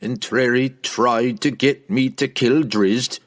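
A man's voice: medium pitch, steady tone, slow pace, pitched above the performer's own voice, lightly acted. A man voicing a proud, hot-headed young barbarian in a fantasy audiobook, accusing and formal in his phrasing. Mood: troubled, insistent.

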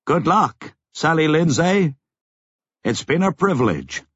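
A man's voice: a little high, big pitch swings, slow, a bit bright, slightly rough, no room sound, dull audio, voice pushed up, exaggerated voice. A man voicing an old sage, precise and emphatic, in an audiobook. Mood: fond farewell. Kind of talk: audiobook character acting.

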